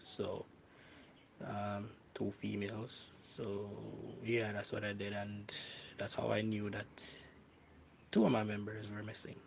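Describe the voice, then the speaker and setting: A man playing a shaken, subdued witness in an amateur audio drama, talking haltingly. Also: on the low side, completely flat delivery, gravelly, slight background noise, low-quality recording. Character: shaken male shooting witness, subdued staff boss.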